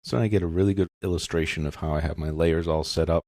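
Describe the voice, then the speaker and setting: A man narrating a software tutorial in a casual, step-by-step explaining manner. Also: low-pitched, fairly even intonation, fast talker, no room sound, studio quality. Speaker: software instructor.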